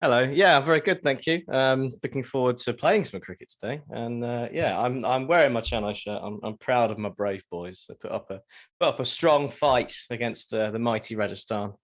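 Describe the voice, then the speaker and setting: Male podcast co-host, mid-pitched, relaxed and dry, quick with deadpan jokes. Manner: dry, joking asides, relaxed. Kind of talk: casual chat.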